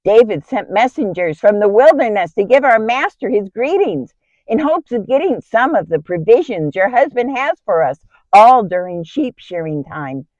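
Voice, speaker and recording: A woman's voice: mid-range voice, very animated, moderate pace, a little mellow, dry recording, highs cut off, voice pushed up, lightly acted. A woman playing an alarmed male shepherd servant, pleading urgently and emphatically in a Bible drama.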